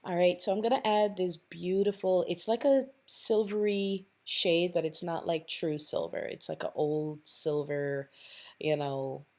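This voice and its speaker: A woman with a raspy voice narrating a casual step-by-step makeup tutorial, chatting straight to her viewers and checking in with them often. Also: on the low side, steady tone, a little slow, a little mellow. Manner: chatty, addresses viewers directly, tags lines with "okay?".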